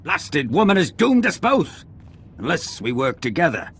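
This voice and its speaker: A man's voice: fairly high pitch, sing-song, unhurried, a bit bright, a little hiss or hum, full character voice. An indignant, swooping nobleman. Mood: defiant. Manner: haughty, emphatic. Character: indignant, proud nobleman duke. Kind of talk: dramatic video game acting.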